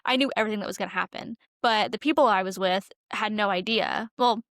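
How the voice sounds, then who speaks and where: A woman's voice: mid-range voice, fast talker, fairly bright tone, no echo, studio quality. A woman co-hosting a casual podcast, chatty and sprinkling "like" through her sentences. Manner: chatty, heavy use of "like". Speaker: podcast co-host.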